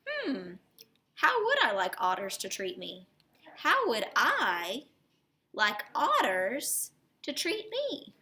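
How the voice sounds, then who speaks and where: A woman's voice: on the high side, huge pitch swings, slow pace, sharp tone, exaggerated voice. A woman voicing an anxious, fussy male rabbit in a storybook, with exaggerated questioning delivery. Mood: pondering.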